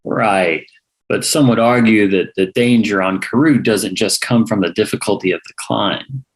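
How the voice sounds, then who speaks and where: A man's voice: lowish voice, moderate pace, natural voice, poor audio. A man reading the part of a skeptical, pushy young hipster journalist in a script table read. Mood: curious, probing.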